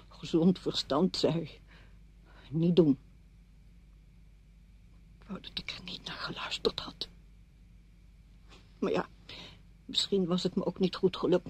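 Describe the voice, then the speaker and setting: An actress playing a bitter, stubborn, grieving widow in a radio play, brooding and cutting. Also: female voice, on the low side, fairly even intonation, slow, pauses often, a little mellow, breathy edge. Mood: bleak, brooding. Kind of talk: Dutch radio play acting.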